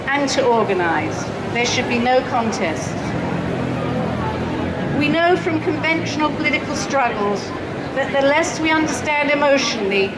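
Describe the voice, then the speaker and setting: Female speaker, high-pitched, earnest and deliberate read-aloud address, heavy city noise around her. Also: animated. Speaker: speaker at a protest event. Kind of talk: prepared read-aloud speech. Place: outdoor city square at a protest. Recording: outdoors with loud traffic noise.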